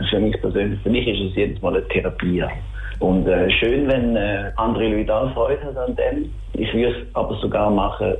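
A man's voice: fairly low pitch, vocal fry. A middle-aged man with a Schaffhausen Swiss German accent answers interview questions casually, searching for words with enthusiasm. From Schaffhausen, Switzerland. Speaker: interviewed band musician. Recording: thin phone-like sound.

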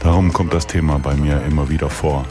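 Very deep male voice-over translator, calm read, music bed behind. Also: fairly quick. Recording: voice-over with clear music underneath.

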